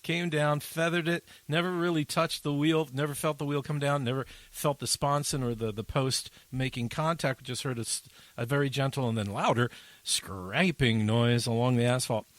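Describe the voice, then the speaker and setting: A man's voice: mid-range voice, steady tone, normal speed, a bit bright, no echo. A man hosting an aviation talk podcast, telling a story in a rambling, joking way with many fillers. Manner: rambling, jokey, lots of fillers. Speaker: podcast host and pilot. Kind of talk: casual radio chat.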